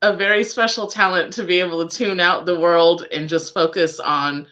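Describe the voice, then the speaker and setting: Encouraging woman interviewer, lowish voice. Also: fairly even intonation, fairly bright tone. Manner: encouraging, affirming, halting when asking questions. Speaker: radio show interviewer, host. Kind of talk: casual, conversational interview.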